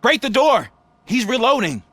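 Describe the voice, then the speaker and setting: A man voicing an earnest, eager police officer in a video game, urgent and admiring, with loud action noise behind him. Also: very high voice, sing-song, normal speed, heavily acted. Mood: excited.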